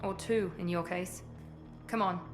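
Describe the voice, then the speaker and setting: An actress voices a self-assured covert operative in a video game cutscene. She has a low female voice and speaks in a haughty, businesslike, clipped way. Music is clear behind her. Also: steady tone, unhurried, close to an everyday voice. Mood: cool, blunt.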